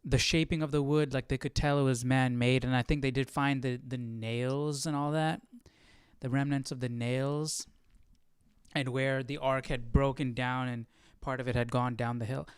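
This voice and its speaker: A man hosting a Bible study livestream, commenting informally and haltingly as he thinks aloud. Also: mid-range voice, level tone, clear, resonant tone, no echo, studio quality.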